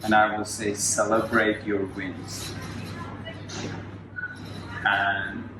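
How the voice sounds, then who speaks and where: A man's voice: lowish voice, little pitch movement, very slow. A man from Turkey with a Turkish accent, a Pilates educator who explains things earnestly and step by step. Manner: earnest, explains step by step with small grammar slips. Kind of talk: casual interview. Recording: echoey room with faint noise.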